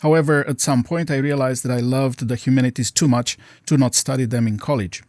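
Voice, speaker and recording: A middle-aged man from Romania answers interview questions in careful, formal sentences. His voice sits at an ordinary pitch and is recorded close and dry, with no room sound. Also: steady tone, darkish tone, no echo. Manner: measured, formal phrasing. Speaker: guest professor.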